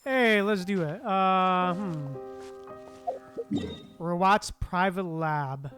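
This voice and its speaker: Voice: mid-range voice, very slow, a bit bright, smooth, faint music behind. A streamer of unclear sex giving casual, laid-back gameplay commentary, half muttering to themself. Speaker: streamer playing a game.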